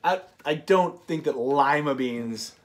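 A man in his thirties co-hosting a read-aloud, moving between expressive story reading and casual chat. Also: on the high side, slow pace. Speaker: co-host of a read-aloud show. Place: small room indoors, on a couch.

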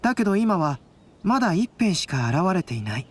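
Composed young man with expressive intonation. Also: lowish voice, brisk, husky. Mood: pensive. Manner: composed, polite, analytical. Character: calm, thoughtful young male artist-scholar. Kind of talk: anime game dialogue acting.

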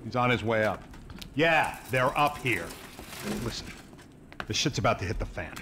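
A man's voice: medium pitch, animated, normal speed, noisy background, lightly acted. A man playing a tense, put-upon government agent in a video game, blunt and urgent. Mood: urgent, threatening. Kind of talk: video game cutscene acting.

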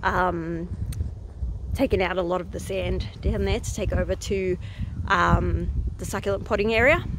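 A woman in her thirties chats casually outdoors in a rural garden, recorded on a phone held at arm's length. She talks in a relaxed, unscripted way, stopping often with ums while she thinks aloud. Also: medium pitch, slight background noise, rough recording. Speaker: gardening vlogger.

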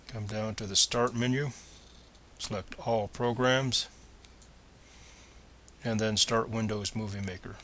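A man with a fairly low voice and a bright, forward edge gives step-by-step computer instructions. He is plain and methodical, speaking as a screencast presenter. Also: fairly even intonation, slow pace, long pauses, slight background noise. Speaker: tutorial presenter.

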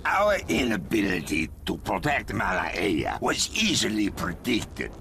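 An actor playing a brutal middle-aged island dictator general in a game, grandiose and menacing, with pompous deliberate delivery. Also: male voice, on the low side, huge pitch swings, vocal fry, sharp tone, slight background noise, full character voice. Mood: cold menace. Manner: pompous, deliberate, theatrical, formal phrasing. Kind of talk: dramatic video game cutscene acting. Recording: music behind the voice.

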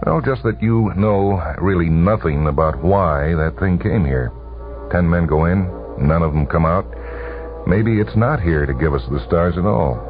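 A man voicing a cool, sour male mercenary gambler with a flat, sardonic, halting delivery in a radio story. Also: low voice, pitched above the performer's own voice. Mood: sardonic, probing. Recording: tinny vintage radio broadcast, music behind.

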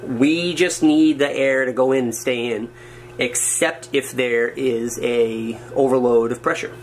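A man of middle pitch chats his way through a build at a wooden workbench, muttering half to himself and poking fun at his own slip-ups. His talk is loose and unscripted, with a faint hiss of noise under it. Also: fairly even intonation, unhurried. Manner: chatty, muttering to himself as he works, self-mocking asides. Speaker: hobbyist showing a workshop project. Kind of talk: casual how-to narration while working. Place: indoors at a wooden workbench or floor. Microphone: camera framed on his hands over the work.

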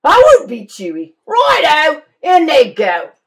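A woman's voice: sits high, big pitch swings, drawn-out words, pitched above the performer's own voice, full character voice. A woman voicing a sly, boastful male dingo who chants and sings over his stew in a storybook reading. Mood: eager. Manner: sing-song chanting, gleeful.

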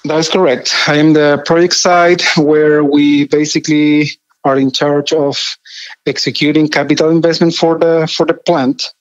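A man in his thirties from Chile, with a Chilean Spanish accent, telling his story conversationally as an interview guest in measured, hesitant phrases. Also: a little high, flat delivery, dry recording, dull audio. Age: 30s. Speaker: podcast guest, engineering project manager.

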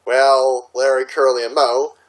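A man's voice: medium pitch, flat delivery, unhurried, poor audio. A man, an amateur director and voice actor, chatting in a jokey way on an online voice call. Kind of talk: casual chat.

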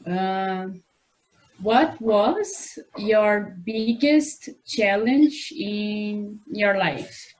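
A woman introduces herself to an online English class. She speaks very slowly and hesitates, dropping in small fillers as she goes. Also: medium pitch, expressive, poor audio. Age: 20s. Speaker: English student. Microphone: video call.

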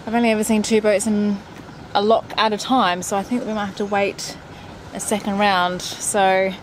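A woman's voice: mid-range voice, level tone, normal speed, low-quality recording. A woman in her thirties chatting candidly to the camera on a boat deck, explaining things as she works. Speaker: sailing vlogger. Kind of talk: casual vlog talk to camera. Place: outdoors on a boat deck on a canal. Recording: outdoor recording with loud engine and wind noise. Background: loud boat engine and wind noise.

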